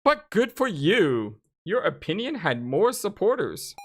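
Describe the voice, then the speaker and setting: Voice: medium pitch, very animated, unhurried, a little hiss or hum, pitched above the performer's own voice. A game streamer voicing a chatty, teasing kid from a visual novel in a breezy, needling manner.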